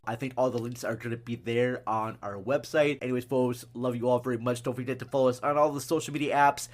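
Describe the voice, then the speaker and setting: Casual male podcast host, medium pitch. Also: fairly even intonation, fast talker.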